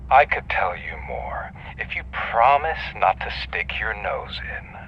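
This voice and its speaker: An actor playing a smug, cryptic prisoner who talks softly in a half-whisper. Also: male voice, lowish voice, sing-song, a little slow, dull audio, slight background noise. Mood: sly. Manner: soft, insinuating, often half-whispered. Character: scheming imprisoned male mastermind, smug and cryptic. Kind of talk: video game cutscene dialogue.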